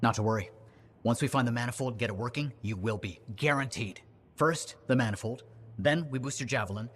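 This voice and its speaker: A man playing a husky, overconfident inventor. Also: medium pitch, brisk, a bit bright, close to an everyday voice. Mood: eager, confident. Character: excitable male inventor, breezy, overconfident schemer. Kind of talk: video game cutscene dialogue.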